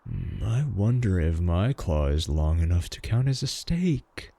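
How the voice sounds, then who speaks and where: A man playing a clingy, cocky male werewolf in a comedic roleplay audio drama, boastful, sarcastic and pleading, with a husky voice. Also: deep voice, sing-song, unhurried, dark tone, dry recording, heavily acted. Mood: taunting.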